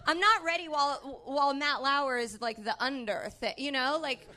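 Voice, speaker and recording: Woman on a comedy panel, really high sharp voice, blunt and irreverent. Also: moderate pace. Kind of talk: comic panel banter. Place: live stage show.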